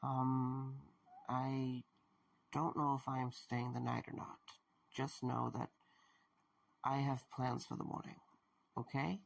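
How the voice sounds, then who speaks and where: A man's voice: mid-range voice, monotone, takes it slowly, vocal fry, pauses often, poor audio. A man playing a weary, wary investigator in an audio drama, talking to himself. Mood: awkward, firm.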